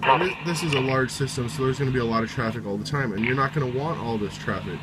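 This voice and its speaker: A man's voice: mid-range voice, fast talker, very noisy. A man giving a casual, step-by-step how-to in an informal way, often reiterating each step. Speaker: tutorial presenter.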